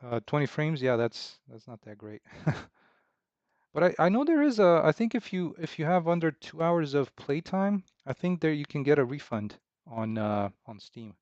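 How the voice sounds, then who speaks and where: A man talks loosely to his stream chat, stumbling and restarting often, with a smooth, resonant voice at a middle pitch. The sound is dry and close, slightly dull and lo-fi. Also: dry recording. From Romania. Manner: relaxed, halting, many fillers and restarts, chuckles. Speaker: indie game developer streaming. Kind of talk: casual livestream chat with viewers.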